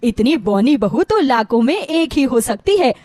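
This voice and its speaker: Gossipy middle-aged neighbour, acted by a woman, mocking, mock-sweet, high pitch, swooping delivery, storybook. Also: very animated, a little slow, a little mellow, no echo. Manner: taunting, mock-sweet, exclaiming.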